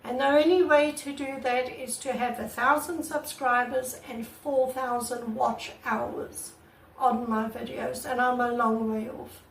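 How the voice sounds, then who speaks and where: Croaky woman vlogging in an echoey room. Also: a little high, fairly even intonation, a little slow, far from the mic, a little hiss or hum. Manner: earnest and repetitive, many restarts. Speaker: artist vlogger. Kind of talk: casual talk to camera. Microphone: camera mic, no proper microphone. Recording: echoey room, away from the mic.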